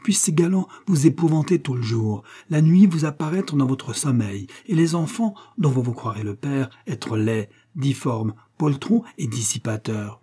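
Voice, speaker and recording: A man's voice: medium pitch, expressive, normal speed, few pauses, mellow, breathy edge, voice pushed up. A man voicing an imperious, theatrical society lady who declaims scornfully in a comic audiobook. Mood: cursing, theatrical. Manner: declamatory, mock-tragic. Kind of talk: comic literary audiobook dialogue.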